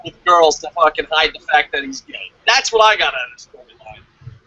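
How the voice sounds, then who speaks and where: A man giving excitable, casual fan commentary as co-host of a review show, rambling and repeating himself. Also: on the high side, a little fast, husky, no echo, lo-fi sound.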